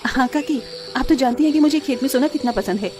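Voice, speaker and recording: A woman voicing a kind, patient young village sister-in-law in a cartoon story, gentle and sensible. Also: high voice, fast, background noise, close to an everyday voice. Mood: cheerful, calm.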